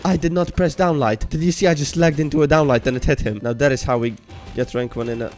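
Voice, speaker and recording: A young man from Hungary with a Hungarian accent, chatting casually and joking while he streams a game at home, reading chat aloud and bursting into excited yells. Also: a little high, fast talker, faint music behind. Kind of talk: casual gaming livestream chat. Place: home room.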